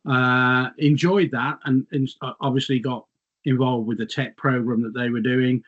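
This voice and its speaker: A man's voice: mid-range voice, steady tone, normal speed, dull audio. A middle-aged English man, a guest, slightly losing his voice, reminiscing in a rambling, self-mocking way. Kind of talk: casual reminiscing chat.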